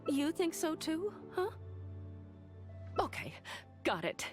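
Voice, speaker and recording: An actress playing an eager, inquisitive, gossipy female merchant in a video game, with an animated, polite and teasing manner. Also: sits high, slow pace, faint music behind, exaggerated voice. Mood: grateful, admiring.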